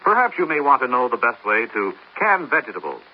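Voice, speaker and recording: A man reads a public service message in a middle register with an animated, rising and falling delivery. The recording is muffled and a little lo-fi, with faint hiss, like an old radio broadcast. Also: medium pitch. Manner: measured, earnest reading. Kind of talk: scripted public service announcement.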